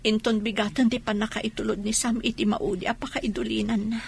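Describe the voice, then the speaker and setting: A woman's voice: on the high side, flat delivery, brisk, gravelly, a little hiss or hum. A woman playing a proud, hurt and firm widow in a Filipino radio comedy drama, with a Filipino accent. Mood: quietly bitter.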